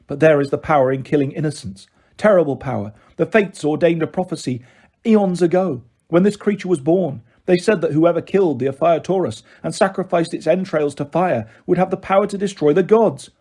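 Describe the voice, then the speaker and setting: A man's voice: fairly high pitch, a little fast, a little mellow, close to an everyday voice. A man voicing a grave, formal ancient huntress in a storybook narration. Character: solemn ancient immortal huntress in girl's form.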